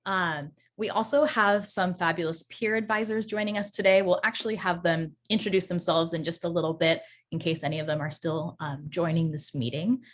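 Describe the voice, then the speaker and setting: Low-voiced woman hosting a webinar. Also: fairly even intonation, fairly quick, hardly any pauses. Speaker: webinar host, advisor. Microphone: video call.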